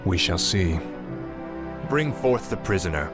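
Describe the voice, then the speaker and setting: An actor playing a cold, arrogant human prince turned death knight in a fantasy video game, speaking in a haughty, menacing, declamatory way. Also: male voice, low-pitched, animated, measured pace. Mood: cold arrogance. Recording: loud music behind the voice.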